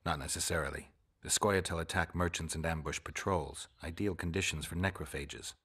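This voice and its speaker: An actor playing a stoic, curt monster hunter in a video game, with a terse, deadpan delivery. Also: male voice, very deep voice, fairly quick, breathy edge, full character voice. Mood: flat, businesslike. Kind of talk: video game character acting.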